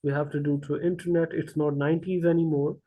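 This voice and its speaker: A man speaking as a panelist in an online discussion, halting with many fillers. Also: a little high, level tone. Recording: tinny phone-like line.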